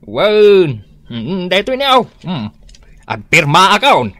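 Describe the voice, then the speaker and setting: A man's voice: sits high, huge pitch swings, slow pace, a bit bright, dry recording, full character voice. A man playing an aggrieved older father with an Ilocano accent, stuck with the housework, in a comedy radio drama. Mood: curious, conspiring.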